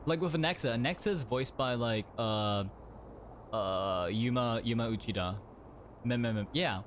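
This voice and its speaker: A man's voice: medium pitch, moderate pace, a little hiss or hum. A man streaming a game, chatting casually and rambling with lots of fillers and restarts. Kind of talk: casual chat while playing.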